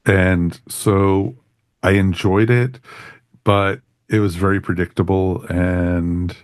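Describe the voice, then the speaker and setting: Male podcast host, low voice, dry studio-like closeness; informal, conversational review chat. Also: fairly even intonation, slow, smooth, no echo. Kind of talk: casual moderated review chat.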